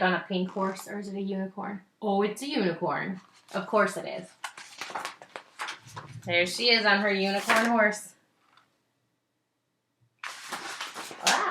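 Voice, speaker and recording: A woman teacher reading a picture book aloud expressively, pausing to put simple questions to young listeners. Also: mid-range voice, reverberant, background noise.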